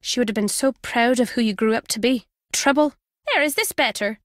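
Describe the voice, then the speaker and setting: A woman's voice: fairly high pitch, a little fast, dry recording, natural voice. An actress playing a wry, guarded older woman with a British accent, a former spy in a video game, dry and fond in manner. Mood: wry, reminiscing. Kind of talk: video game character dialogue.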